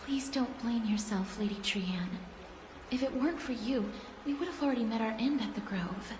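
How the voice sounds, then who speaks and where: A woman voicing a polite, composed young woman in a video game, speaking in a formal, measured way. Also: fairly high pitch, reverberant, background noise, natural voice. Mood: calm.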